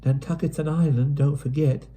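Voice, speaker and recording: A man's voice: mid-range voice, moderate pace, mellow, voice pushed up, close to an everyday voice. A man voicing a timid, gloomy young girl who talks in a plaintive, self-pitying way in an audiobook. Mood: dreary. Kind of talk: audiobook character voice.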